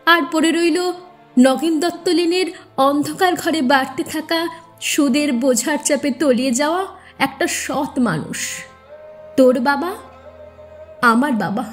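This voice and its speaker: A woman reciting a narrative poem in an expressive, measured manner with deliberate pauses. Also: sits high. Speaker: poetry reciter. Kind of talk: dramatic Bengali poem recitation, first-person narrative.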